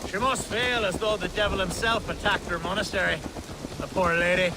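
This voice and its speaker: Fretful high-voiced pious Saxon. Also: male voice, a little fast. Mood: disapproving, worried. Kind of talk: video game cutscene dialogue. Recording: windy outdoor noise behind.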